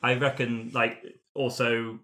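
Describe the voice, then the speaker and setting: Man, crisp bright tone, medium pitch, playful, chuckling, casual tabletop roleplay chat. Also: level tone, slow. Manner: playful, chuckles through sentences. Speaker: player in a tabletop roleplaying game. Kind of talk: casual character discussion among friends.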